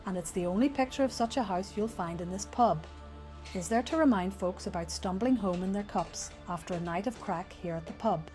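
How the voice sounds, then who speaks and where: A man voicing a perky young Irish barmaid with a smooth, honeyed brogue, spinning a spooky tale. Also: high-pitched, a little fast, darkish tone, faint music behind, voice pushed up, lightly acted. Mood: teasing. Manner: playful, dramatic flair.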